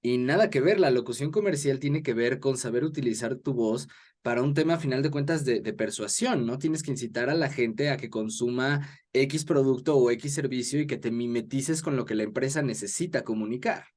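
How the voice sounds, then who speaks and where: A man's voice: mid-range voice, a little fast, a little mellow. A man with a Mexican Spanish accent hosting an interview in casual, explanatory talk. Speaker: interview host.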